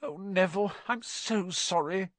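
Man putting on an earnest, higher-pitched schoolgirl. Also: expressive, moderate pace, fairly bright tone, no echo. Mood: sorry, miserable. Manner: pleading, sorrowful. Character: earnest schoolgirl, apologetic and guilty.

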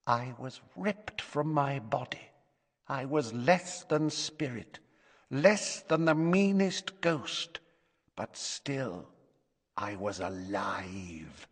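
A man voicing a chilling dark wizard villain in an audiobook, dramatic and drawn-out. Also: mid-range voice, sing-song, husky, in a large hall, pitched above the performer's own voice, heavily acted. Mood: bitter, hushed. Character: dark wizard villain, chillingly recalling his bodiless survival. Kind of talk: audiobook character voice.

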